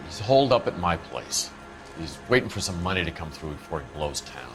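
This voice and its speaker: An actor playing an evasive, defiant lowlife crime suspect in a video game, defensive and slangy. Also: male voice, very animated, a little fast, faint music behind. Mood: defiant, grudging. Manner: defensive, slangy, hesitant when cornered. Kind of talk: dramatic video game acting.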